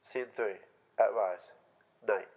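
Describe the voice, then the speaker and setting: A man with a low-pitched voice narrates a staged play reading. He reads out the stage directions as scripted narration. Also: slow pace, a little mellow.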